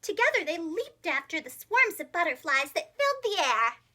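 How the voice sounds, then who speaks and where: Lady reading storytime to little kids, really high bright voice, super expressive. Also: moderate pace. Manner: expressive, simple phrasing for young children. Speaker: preschool teacher and storyteller. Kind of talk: children's storybook narration.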